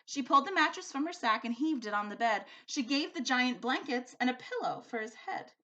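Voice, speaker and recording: A woman reading a rhyming children's story aloud like a teacher, with an expressive, sing-song rhythm. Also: high-pitched, brisk, highs cut off. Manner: animated, sing-song rhythm following the rhyme.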